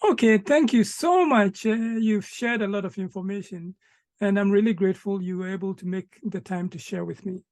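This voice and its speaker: A male interviewer with a fairly high voice and a noticeable creak in it. He is polite and conversational, listening attentively and giving brief acknowledgements. The sound is close and dry. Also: moderate pace, no room sound. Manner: attentive, frequent short acknowledgements.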